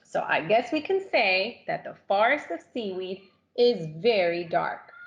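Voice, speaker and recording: A woman reading a picture book aloud to children, animated and expressive, with questions for young listeners. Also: mid-range voice, unhurried, muffled recording. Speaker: story reader for children.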